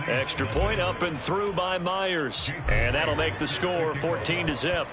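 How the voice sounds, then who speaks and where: A man voicing a polished, excitable TV football play-by-play announcer in a video game. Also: on the high side, a little slow, very noisy, lightly acted. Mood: measured, polished. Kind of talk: televised football commentary.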